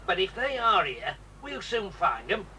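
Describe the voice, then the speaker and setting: A man's voice: high voice, sing-song, a little slow, bright, forceful tone, recorded from a distance, slight background noise, heavily acted. A man playing a blustering, threatening father who is a villain in a 1980s audio drama, recorded on old tape a little back from the mic. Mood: scheming. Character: gruff menacing father, Mr Stick, armed.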